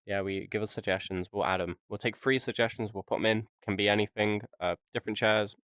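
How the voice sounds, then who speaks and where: A man's voice: on the low side, fairly even intonation, quick pace, fairly bright tone, smooth, no room sound. A young man co-hosting a podcast, chatting casually with a joking, self-mocking manner and frequent laughs. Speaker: podcast co-host. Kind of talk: casual chat.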